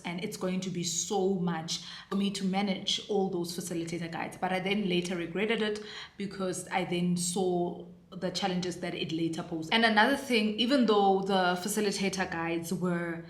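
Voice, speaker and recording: South African mom, low voice, roomy echo. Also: female voice, a bit bright. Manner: chatty, earnest, with frequent fillers and restarts. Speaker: homeschool mom reviewing a curriculum. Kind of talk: casual review to camera.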